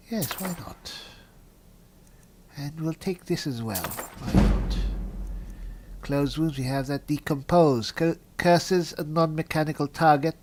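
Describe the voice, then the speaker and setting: A man's voice: fairly high pitch, darkish tone, a little hiss or hum. A man hosting a gaming video, talking politely in character and musing aloud as he reads out in-game descriptions. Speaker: gaming video host and player. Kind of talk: in-character roleplay mixed with game commentary.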